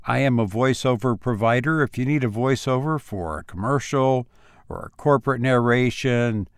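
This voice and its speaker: A man speaking as a podcast host and story reader, with measured, deliberate phrasing, close on a voice-over mic. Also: medium pitch, dry recording. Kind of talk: podcast introduction and audiobook-style narration.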